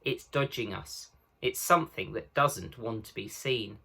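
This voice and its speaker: Man playing earnest, bright-voiced eldest boy Peter. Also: fairly low pitch, unhurried, vocal fry, full character voice. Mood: alert, tense.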